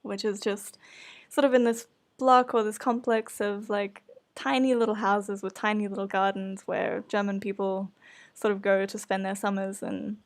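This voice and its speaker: A woman's voice: mid-range voice, flat delivery, normal speed. A woman co-hosting a live podcast event, chatting casually and informally, often with a giggle. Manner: informal, bubbly with frequent laughter. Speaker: podcast writer and co-host.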